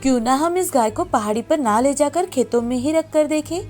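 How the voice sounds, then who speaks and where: Woman acting high-voiced caring village wife. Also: fairly quick, darkish tone, faint music behind, natural voice. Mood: curious. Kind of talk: scripted moral story dialogue.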